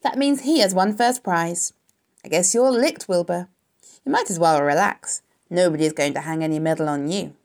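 A woman voicing a smug, callous, gluttonous male rat from a storybook, with a husky voice and a drawn-out, snarling delivery. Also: on the low side, a little mellow, lightly acted. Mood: snarling, taunting. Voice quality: husky, hoarse.

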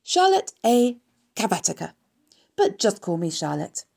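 Storybook spider, played by a woman: female, genteel, self-assured, kindly, deliberate, with animated lilting pitch. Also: gravelly, full character voice. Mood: poised.

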